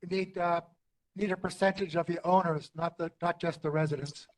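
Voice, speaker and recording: A man's voice: fairly high pitch, steady tone, fairly quick. A man speaking at a neighborhood board meeting, matter-of-fact as he adds background and corrections. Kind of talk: public meeting comment. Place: community meeting room.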